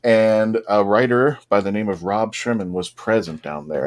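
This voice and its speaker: Low-voiced man in casual panel chat. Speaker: podcast panelist.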